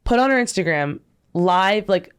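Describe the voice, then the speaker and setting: A woman's voice: mid-range voice, lively intonation, normal speed, clean tone. A young woman, a wedding photographer, chatting candidly on a podcast and telling stories with casual slang.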